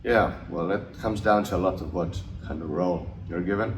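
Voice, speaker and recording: A young man from Finland, a hockey forward, answering interview questions in a relaxed, hesitant way with many fillers and restarts. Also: sits low, a little fast. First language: English and Finnish. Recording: roomy, distant mic, noisy.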